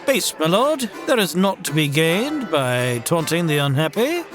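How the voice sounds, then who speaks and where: A man's voice: on the high side, very animated, unhurried, over music. A man playing a reproachful medieval Lord Chancellor in an audio drama, formal and theatrical in archaic English.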